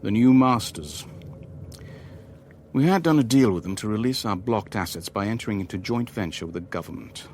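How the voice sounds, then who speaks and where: A man's voice: lowish voice, expressive, moderate pace, darkish tone, a little hiss or hum, natural voice. An actor playing a worldly, wry Levantine businessman who narrates a radio drama in a dry, clipped way. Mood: wry, matter-of-fact. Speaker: narrator and lead character. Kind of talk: radio drama first-person narration and dialogue.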